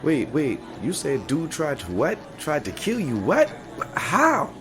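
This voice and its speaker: A man's voice: fairly high pitch, wildly swooping pitch, measured pace, exaggerated voice. A man playing an easygoing, streetwise barber in an audio story, talking in casual slang over background music. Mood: excited, alarmed. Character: easygoing streetwise male barber, helpful loyal friend. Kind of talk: dramatic audio story acting.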